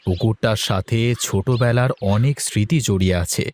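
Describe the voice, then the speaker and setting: A man's voice: on the low side, moderate pace, darkish tone, slight background noise, natural voice. A man playing an easygoing, chatty village street-food seller in a children's cartoon, with a folksy, conversational manner. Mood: earnest, placating. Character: village fuchka-selling husband, easygoing, chatty, hopeful. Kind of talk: Bengali children's cartoon dubbing.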